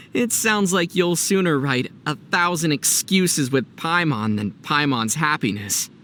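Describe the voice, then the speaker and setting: Youthful male game adventurer: light, high for a man, lively swings in pitch, playful and teasing. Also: slow, a little hiss or hum, heavily acted. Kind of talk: video game character dialogue.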